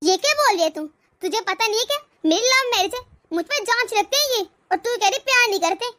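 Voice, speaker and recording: औरत की आवाज़ में बहुत ऊँची आवाज़ वाली रूठी पत्नी. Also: female voice, extremely high pitch, expressive, fast talker, pushed and bright, exaggerated voice. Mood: anxious, indignant. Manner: dramatic, repeats words when upset. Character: young wife, jealous, wounded, sulky and controlling. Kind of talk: melodramatic domestic story acting.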